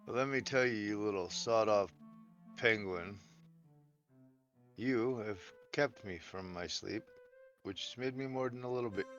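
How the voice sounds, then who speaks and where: Gruff, swearing man, slightly dull audio. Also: on the low side, measured pace, pauses often, fairly bright tone, soft background music. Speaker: player in a tabletop roleplaying game. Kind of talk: casual in-character roleplay banter.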